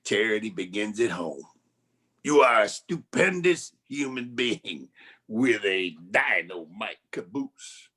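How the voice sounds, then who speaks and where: An actor playing a glib, wisecracking 1940s private detective in a campy noir radio play. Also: male voice, fairly low pitch, expressive, slow, pushed and bright, breathy edge, full character voice. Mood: sweet-talking, pleading. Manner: glib, slangy.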